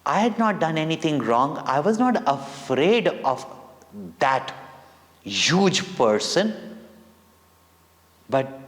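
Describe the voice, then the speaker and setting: A man with an Indian accent telling devotional stories to children in a deliberate, theatrical way with dramatic pauses and emphasis. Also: a little high, sing-song. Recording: long echoing hall reverb.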